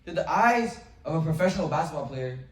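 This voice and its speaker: A man's voice: on the high side, animated. Earnest middle school boy, distant and roomy. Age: young teen, 7th grade middle school student. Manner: earnest, with pauses, some restarts. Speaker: student speaker. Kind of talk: memorized school speech to an assembly. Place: school assembly hall.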